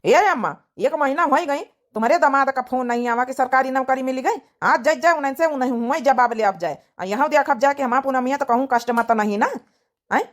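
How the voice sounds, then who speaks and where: A woman's voice: high-pitched, fairly quick, natural voice. A woman voicing a hot-headed, protective young village brother in a cartoon comedy drama, insistent and argumentative with an Awadhi accent. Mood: worried, determined.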